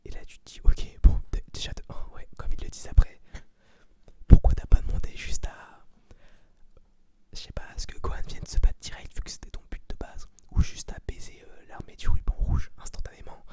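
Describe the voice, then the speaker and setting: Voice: brisk, mellow. An ASMR host whispering a review in an informal, slangy, rambling way. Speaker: ASMR video host giving a film review.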